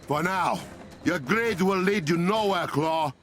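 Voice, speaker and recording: An actor playing a solemn, protective warrior king with an African accent, speaking in a formal, commanding, measured way for a video game. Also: high-pitched, animated, a bit bright, faint music behind, exaggerated voice. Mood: stern, grave.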